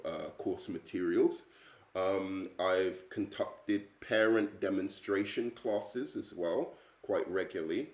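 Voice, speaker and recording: A man's voice: low-pitched, darkish tone, reverberant. A man in his thirties from England with an English accent, an English teacher introducing himself in a hesitant, earnest way with many ums and uhs. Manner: earnest, friendly. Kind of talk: self-introduction video.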